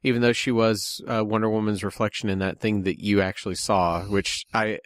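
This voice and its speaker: Low-voiced man in dry podcast chat. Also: little pitch movement, brisk, no echo. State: getting over a head cold. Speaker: podcast co-host. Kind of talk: casual chat about a film.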